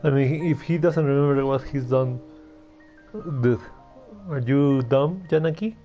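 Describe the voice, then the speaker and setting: Mellow-voiced man gaming, muffled mic. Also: mid-range voice, unhurried. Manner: teasing, playful name-calling. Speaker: game streamer. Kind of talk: casual playthrough commentary. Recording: dull, muffled mic over game music.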